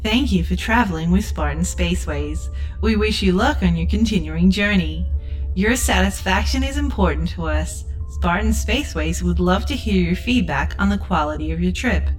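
A relentlessly polite female ship's computer, played by an actress, serves up chirpy corporate patter in a sci-fi audio drama. Her voice sits a little low for a woman, with music behind it. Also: animated, normal speed, close to an everyday voice, low-quality recording. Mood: polite, official. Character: relentlessly perky, customer-service obsessed female spaceship AI.

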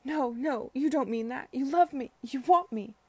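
A woman voicing a drunk, desperate, hot-tempered young gentleman with wailing, plaintive outbursts in a period romance audiobook. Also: sits high, moderate pace, husky, no room sound, pitched above the performer's own voice. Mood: desperate pleading.

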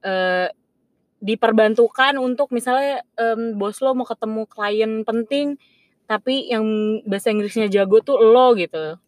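A young woman co-hosting a casual podcast chat, in relaxed slang with English words mixed in. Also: fairly high pitch, a little slow, no echo. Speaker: podcast co-host.

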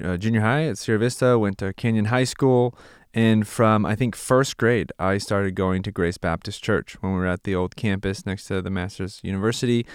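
A man in his thirties from California, a missionary telling his story in a relaxed podcast conversation. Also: on the low side, clean tone, no echo, clean studio sound. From California, USA. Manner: earnest, reflective storytelling. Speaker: missionary, ministry partner.

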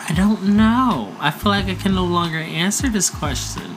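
A woman's voice: fairly low pitch, normal speed, over music. A woman hosting a casual podcast, talking in a laid-back, drawling way. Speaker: podcast host. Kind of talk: casual chat.

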